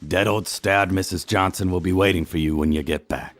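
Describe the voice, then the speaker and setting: A man playing an exasperated, stern police chief with a gruff, husky voice in a comedic noir audio drama. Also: low-pitched, steady tone, fairly quick, a bit bright, dry recording. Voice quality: gravelly, husky.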